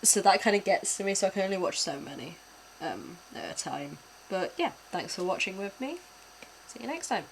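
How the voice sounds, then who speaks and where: A woman's voice: medium pitch, very animated, moderate pace, slight background noise, rough recording. A young British woman chatting casually while reacting to videos on a stream, laughing often and swearing offhand. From Britain.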